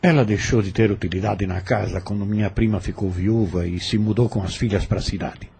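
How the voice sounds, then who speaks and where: Man playing a husky, insinuating plantation overseer. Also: fairly low pitch, steady tone, a little mellow, full character voice. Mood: suspicious. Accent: Brazilian. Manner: measured, insinuating, mock-courteous. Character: sly, insinuating male plantation overseer, falsely deferential. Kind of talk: audio drama acting.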